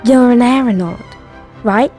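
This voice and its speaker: A woman's voice: fairly high pitch, measured pace, music in the background, close to an everyday voice. A woman playing a bold, eager young girl adventurer in a video game, chatty and earnest. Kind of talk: video game cutscene acting.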